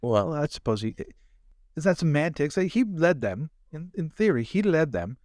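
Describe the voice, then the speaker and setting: A man's voice: on the high side, very animated, moderate pace, a little mellow, no room sound, studio quality. A man improvising a fussy, chatty, drily teasing bodyguard and former fencing professor in a tabletop roleplay. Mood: dry teasing.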